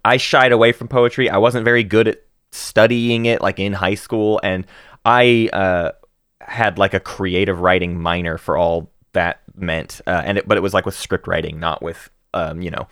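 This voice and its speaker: Homme animé à la voix grave et nette. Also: male voice, low-pitched, moderate pace, clear, resonant tone, no echo, studio quality. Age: 30s. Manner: animated, laughing, many restarts and fillers. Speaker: podcast co-host. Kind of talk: casual book chat.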